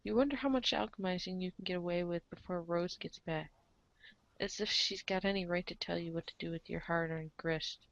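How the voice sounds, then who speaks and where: A woman's voice: lowish voice, brisk, creaky, no echo, dull audio. A young adult woman reading second-person story narration as the narrator of an amateur reader's theater.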